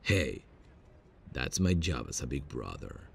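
A man acting a very deep-voiced, hesitant big brother. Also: sing-song, gravelly, slight background noise, full character voice. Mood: worried, caring. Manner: hesitant, halting with many pauses. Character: worried, protective big brother leaving a voicemail. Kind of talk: ASMR audio roleplay.

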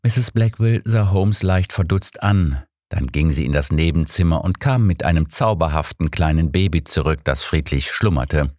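A man's voice: extremely low pitch, lively intonation, moderate pace, hardly any pauses, darkish tone, no room sound, studio quality. A man reading scripted audiobook narration as the storyteller.

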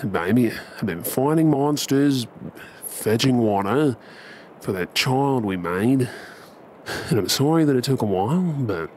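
An Australian man performing an ASMR bedtime roleplay as an affectionate, cheeky young husband and dad, coaxing and hushed. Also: mid-range voice, slight background noise. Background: ambient sound effects.

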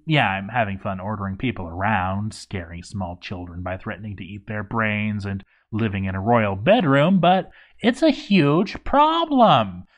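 A man reading a scripted game story in character, with wry, sarcastic asides. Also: mid-range voice. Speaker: narrator of a game story read-through.

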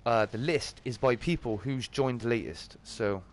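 A British man with a slightly croaky, just-woken voice, hosting a casual livestream and greeting viewers chummily. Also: medium pitch, measured pace. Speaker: live streamer and host.

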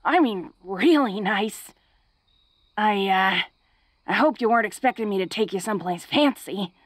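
A woman's voice: mid-range voice, lively intonation, moderate pace, no echo, lightly acted. A woman playing a tough, flirty, secretly awkward female orc in an intimate romantic audio roleplay, speaking in a hesitant, folksy, teasing way.

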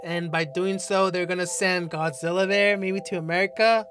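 A man's voice: sits high, fairly even intonation, moderate pace, noisy background. A man in his twenties giving casual reaction commentary into a close desk mic, reading captions aloud with slangy, exclamatory asides. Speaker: reaction video host. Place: small bedroom, indoors. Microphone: desk microphone up close, headphones on.

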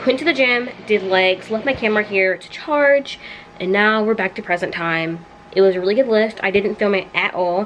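A young woman chatting casually to camera in a small dorm room, animated and quick with asides. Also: medium pitch, a little hiss or hum, low-quality recording. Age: young adult. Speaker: vlogger. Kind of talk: casual vlog chat to camera. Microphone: handheld camera close up.

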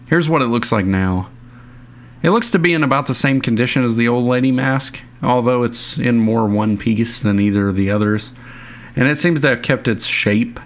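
A young man reading a scripted voice-over for his online video in a plain, informal way. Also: lowish voice. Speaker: YouTube video narrator.

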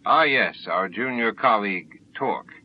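Hive grand master, male reader: formal, self-important, controlling, slightly deep, dull audiobook tape. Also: animated. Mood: condescending. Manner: measured, condescending, formal. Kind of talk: audiobook character acting.

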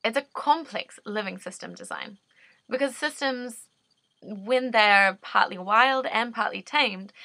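A young woman with a New Zealand accent talks straight into her laptop webcam from a dim room at home. Her voice is bright and clear, and the sound is dry and close, with no room echo. She explains ideas earnestly and off the cuff, pausing and restarting as she looks for the right words. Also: mid-range voice. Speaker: permaculture designer. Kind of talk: unscripted explanatory monologue. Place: dim indoor room, seated. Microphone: laptop webcam at desk.

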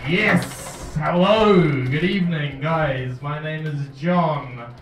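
A young man with a New Zealand accent, a stand-up comedian with a dry, deadpan, self-deprecating delivery, speaking into a handheld mic in a brick comedy club. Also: on the high side, slow, reverberant, distant mic, a little hiss or hum. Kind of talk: stand-up set and casual interview. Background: crowd laughter.

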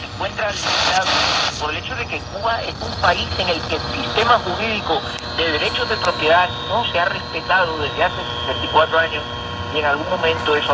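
A man with a slightly high voice gives analysis in a radio interview. It comes through shortwave reception with very loud noise.

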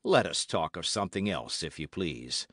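A man voicing a proud, gracious, wryly world-weary musketeer in formal, measured speech for a storybook. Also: sits low, animated, a bit bright, no room sound, studio quality. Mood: gracious, dry.

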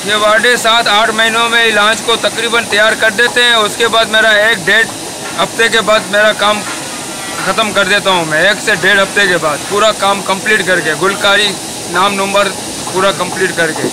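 High-voiced middle-aged man in a noisy boatyard. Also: flat delivery. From Pakistan. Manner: plain, halting speech with restarts and lists. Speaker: boat painter. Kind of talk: casual on-camera explanation. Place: outdoor boatyard in the sun.

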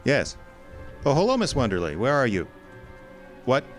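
An actor playing a world-weary, wry private detective in a radio drama, blunt and dry in manner. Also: male voice, medium pitch, huge pitch swings, normal speed, faint music behind. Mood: wry, businesslike. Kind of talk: old-time radio detective drama acting.

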